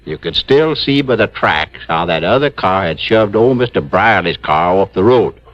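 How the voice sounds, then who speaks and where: Rustic police chief, Southern drawl, low animated voice, insistent, grumbling, old-time radio drama. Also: male voice, moderate pace, dry recording. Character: folksy, grudge-bearing small-town male police chief. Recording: dull, hissy vintage radio recording.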